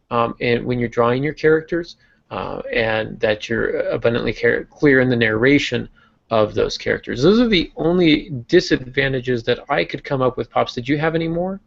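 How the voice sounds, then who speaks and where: A man with a gravelly, fry-tinged voice explains writing craft on a podcast, working through his points with plenty of uh and um. The audio is dull and lo-fi. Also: medium pitch. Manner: explanatory, frequent uh and um fillers. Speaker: podcast host and novelist.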